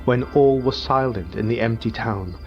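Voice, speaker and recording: A man narrating a dark fantasy audiobook in a slow, hushed, grave manner. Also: mid-range voice. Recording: muffled with faint music.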